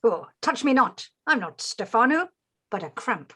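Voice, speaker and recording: A woman's voice: on the high side, big pitch swings, normal speed, a bit bright, slightly rough, heavily acted. A woman playing a tipsy, swaggering male butler in a reading of a Shakespeare comedy. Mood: groaning, wry. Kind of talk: unrehearsed Shakespeare play reading.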